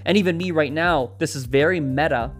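A man's voice: a little high, fairly quick, faint music behind. A man hosting a solo podcast, talking in an upbeat, chatty and rambling way with restarts. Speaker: podcast host. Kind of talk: solo podcast advice talk.

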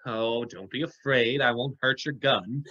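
A man's voice: mid-range voice, a little slow, sharp tone. Dogged hard-boiled sleuth, clipped and wry, radio drama, lo-fi sound, male actor. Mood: accusing. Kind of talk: vintage radio drama acting.

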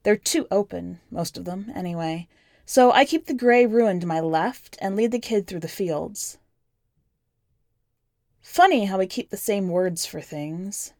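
A woman reading scripted first-person fiction as a podcast narrator, with measured, deliberate phrasing. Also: medium pitch, darkish tone, dry recording, clean studio sound. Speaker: podcast host and story narrator.

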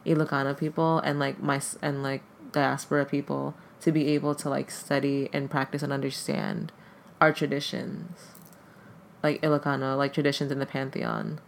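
A podcast guest whose first language is Tagalog, with a gravelly, creaky edge to a mid-pitched voice. They talk casually and haltingly, with "like" dropped into almost every phrase. A faint hiss of noise sits under the recording. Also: little pitch movement. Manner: hesitant, heavy use of "like" fillers. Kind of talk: casual conversation.

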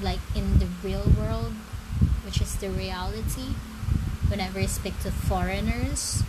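A woman with as her chatting casually with her listeners about her story, self-conscious and rambling, with many restarts. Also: medium pitch. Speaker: amateur story writer and reader. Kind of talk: casual late-night chat to listeners. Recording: loud background noise.

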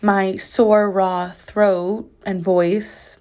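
A young woman hosting a casual solo podcast from her bathroom, her voice slightly raspy as it recovers from being lost, chatting in a rambling way full of "like"s. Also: medium pitch, level tone, extremely slow, a little mellow. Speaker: podcast host. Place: home bathroom.

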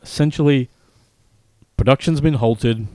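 A man co-hosting a gaming news podcast, reading out stories in a casual, halting way with many fillers and restarts. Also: medium pitch, darkish tone, no echo. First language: not Polish. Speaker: podcast co-host.

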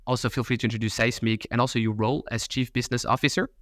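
A man's voice: medium pitch, level tone, very fast, no room sound. Podcast host man, dry close sound. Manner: reads intro and ad brightly, then asks loose rambling questions. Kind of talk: podcast intro and interview.

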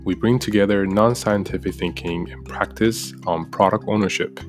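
A man's voice: on the low side, fairly even intonation, moderate pace, over music. A young man co-hosting a casual podcast, talking with frequent ums and restarts. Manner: hesitant, many ums and restarts. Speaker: podcast co-host.

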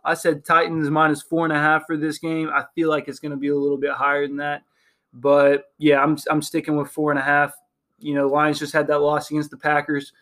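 Flat-voiced young man, halting sports chat. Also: a little high. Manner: hesitant, many ums and restarts. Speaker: podcast co-host.

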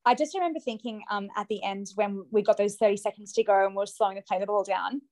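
A woman's voice: mid-range voice, quick pace, darkish tone, slight background noise. A young woman chatting as a podcast guest about a match, laughing often as she talks. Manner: giggly, chatty, relieved. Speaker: podcast guest, sports fan. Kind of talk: casual chat.

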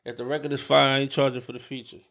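Brash, fast-talking young rapper. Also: male voice, mid-range voice, flat delivery, vocal fry, fairly bright tone. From New Jersey. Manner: brash, emphatic boasting with heavy swearing. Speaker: rapper guest. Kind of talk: casual chat.

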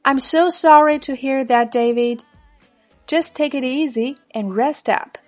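Woman reading speaker B in a lesson dialogue, clear, a bit high, music faint underneath. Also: a little slow. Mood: plain. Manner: plain, careful read-aloud of lesson lines. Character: everyday adult speaker B in a practice dialogue. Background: faint background music.

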